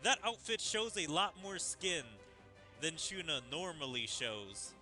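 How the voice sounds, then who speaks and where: A man's voice: on the high side, sing-song, a little slow, sharp tone, faint music behind. A man giving casual gaming commentary, thinking aloud in a musing way as he follows a story. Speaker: gaming video creator.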